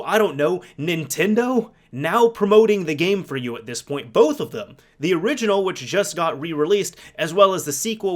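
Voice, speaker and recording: A man talking casually to viewers as a video game YouTuber, conversational and emphatic, repeating points for stress. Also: on the high side. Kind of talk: casual video commentary with personal anecdotes.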